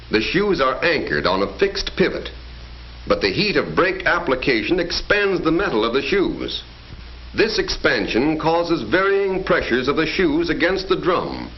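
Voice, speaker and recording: A man narrating a 1940s educational film in an emphatic, declamatory announcer style. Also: mid-range voice. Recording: dull 1940s film soundtrack with faint music.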